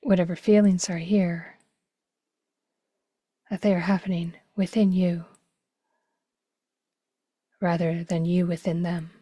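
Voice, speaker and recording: A woman leading a guided meditation slowly, in a gentle, hushed, breathy voice with long pauses. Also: lowish voice, flat delivery, dry recording. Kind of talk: guided meditation, slow and spacious.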